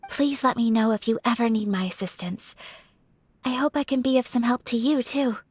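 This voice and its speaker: A woman voicing a refined, gentle young noblewoman in a video game, speaking with formal courtesy and shy affection. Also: a little high, moderate pace, slightly rough, dry recording. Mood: gracious, warm. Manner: formal, polite, softly hesitant phrasing. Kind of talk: scripted video game character voice acting.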